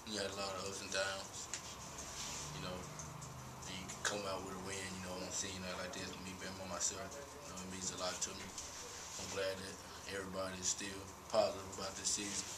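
A man's voice: deep voice, brisk. Young man in an echoey press room. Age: young adult. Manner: relaxed, laughs easily, many "you know" fillers. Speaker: college basketball player. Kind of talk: postgame press conference answers. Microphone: table mic. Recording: roomy echo, distant mic, hum.

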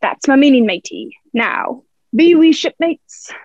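Sly pirate sea cook, played by a woman: high, swooping, salty dialect, muffled dry radio-play recording. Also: sing-song, a little slow, a little mellow, no room sound, full character voice. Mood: hearty, sly. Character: sly, hearty peg-legged pirate ship's cook. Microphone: own device, remote recording.

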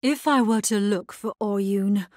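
A woman playing a grave, resolute necromancer hero in a video game, husky-voiced, speaking in a measured, solemn and terse way. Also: mid-range voice, a little mellow, no echo, clean studio sound, lightly acted. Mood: grave, questioning. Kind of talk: scripted video game character lines.

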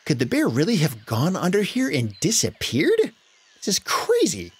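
A man narrating a children's story in a dramatic, animated way with exaggerated emphasis. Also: a little high, normal speed, breathy edge.

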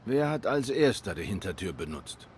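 An actor playing a cool, courteous cat private detective in a noir video game, questioning in a measured, polite way. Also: male voice, fairly low pitch, very animated, background noise. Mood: curious.

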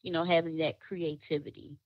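A woman co-hosting an interview, lively and affirming, often answering with amen and praise. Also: low-pitched, a little fast, no room sound, dull audio. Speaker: co-host. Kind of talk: casual interview chat.

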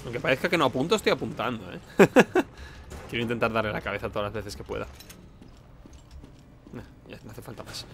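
A man's voice: medium pitch, fairly quick, creaky, pauses often, fairly bright tone, slight background noise. A man with an accent from Spain gives casual gameplay commentary, informal and sweary, often muttering to himself. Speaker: let's play host.

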